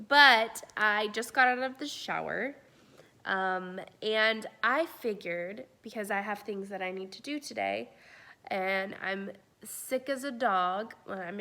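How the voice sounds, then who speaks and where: A young woman in her twenties with a cold, chatting casually through a makeup tutorial at home and sometimes whispering softly. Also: medium pitch. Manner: chatty, drops to soft whispers while applying products. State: congested with a cold. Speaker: beauty vlogger. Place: small room at home, indoors. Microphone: camera in front at close range.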